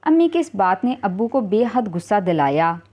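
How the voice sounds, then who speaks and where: A woman's voice: mid-range voice, sing-song, moderate pace, a little mellow. A woman narrating a scripted first-person story as a storyteller.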